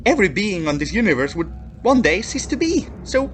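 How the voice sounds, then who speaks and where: Theatrical man narrating with very lively pitch. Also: a little fast. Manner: theatrical, emphatic, expressive. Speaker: narrator reading his own short story. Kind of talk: dramatic sci-fi horror audiobook narration. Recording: faint background noise and ambience. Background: atmospheric background music.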